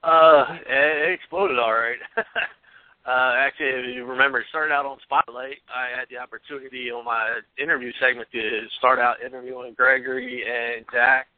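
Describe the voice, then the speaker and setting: A man's voice: medium pitch, steady tone, moderate pace, dry recording. Guy co-hosting, lots of ums, dry tinny line, mid voice. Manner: hesitant, lots of ums, laughs along. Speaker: co-host and interviewer. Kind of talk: casual radio talk.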